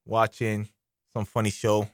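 A man's voice: on the low side, completely flat delivery, unhurried, dry recording. A man hosting a casual radio talk show from a studio, playful and joking, cheering his guest on.

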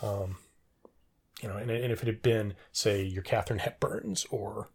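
A middle-aged man in a casual trivia discussion, rambling and thinking out loud as he talks. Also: fairly low pitch, steady tone, moderate pace, husky. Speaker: podcast co-host.

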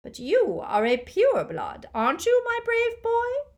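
A woman reading aloud and voicing a cold, gloating male dark wizard with a soft, silky, hissing menace. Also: extremely high pitch, wildly swooping pitch, a little slow, voice pushed up, exaggerated voice. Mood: silky, mocking.

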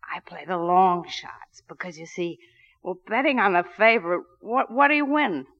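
An actress playing a flighty, needy gangster's moll in 1940s radio style, rambling and repeating herself. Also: female voice, fairly low pitch, lively intonation, normal speed. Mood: chatty. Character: flighty, needy middle-class gangster's moll who bets horses. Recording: dull 1940s radio broadcast recording.

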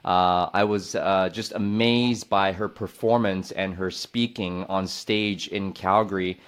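Low-voiced guy hosting a podcast, lots of uh's, gushes over his guest. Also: fairly even intonation, slow pace, clear, resonant tone. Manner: effusive, hesitant with many fillers. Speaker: podcast host. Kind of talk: podcast interview.

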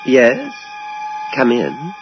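Radio horror villain: genial, controlling dentist, hugely varied intonation, noisy dull tape. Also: male voice, medium pitch, huge pitch swings, extremely slow, full character voice. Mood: genial, probing. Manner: smooth reassurance turning to sharp commands. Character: sinister, outwardly genial male dentist with impatient authority. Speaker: actor in radio drama. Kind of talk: 1980s radio horror acting.

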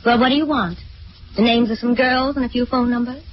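A woman's voice: fairly high pitch, fairly even intonation, brisk, close to an everyday voice. A woman playing an arch, playfully sardonic young girlfriend in a 1940s radio drama. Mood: arch, teasing. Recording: dull 1940s radio recording.

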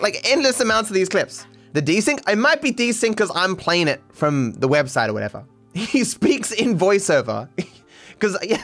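A man hosting a casual solo podcast, rambling with halting restarts and sudden swings in pitch. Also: a bit bright. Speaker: podcast host.

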